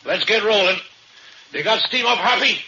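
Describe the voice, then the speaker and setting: An actor playing a gruff, impatient drilling foreman in a 1940s radio drama, brusque and bossy. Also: high voice, expressive, normal speed, bright, forceful tone, a little hiss or hum, full character voice. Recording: dull 1940s radio broadcast.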